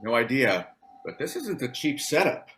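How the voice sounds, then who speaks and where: A man plays a practical man stranded after a crash, worried but taking charge. His pitch is very lively and varied, in a table read of a TV drama script. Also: normal speed, a little hiss or hum. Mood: grave, concerned.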